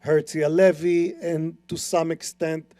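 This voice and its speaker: A man's voice: on the high side, little pitch movement, unhurried, mellow. A middle-aged man with an Israeli accent, explaining his view earnestly and emphatically as a panelist. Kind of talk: panel discussion.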